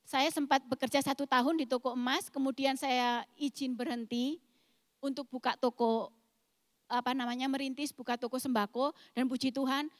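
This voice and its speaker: A woman's voice: sits high, dry recording, studio quality, in a large hall. A woman in her forties with a thick East Javanese accent, preaching a sermon as a pastor in an emphatic, explosive way. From East Java, Indonesia. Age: 40s. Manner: emphatic, explosive delivery addressing the congregation.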